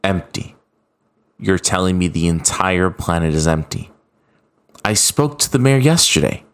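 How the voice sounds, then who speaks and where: A man's voice: sits low, big pitch swings, measured pace, smooth, pitched below the performer's own voice, heavily acted. A man voicing a determined, defiant young space ranger hero with dramatic delivery in a sci-fi story. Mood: shocked, grave.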